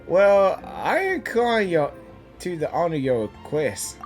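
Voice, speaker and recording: A woman doing excited game reaction commentary, exclaiming in a husky voice. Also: lowish voice, slow, soft background music. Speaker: gaming reaction video creator.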